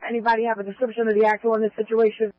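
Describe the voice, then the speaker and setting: A man working as a police dispatcher, giving brisk, directive instructions over a two-way radio. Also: sits high, monotone, dry recording, soft background music. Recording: dull lo-fi police scanner radio.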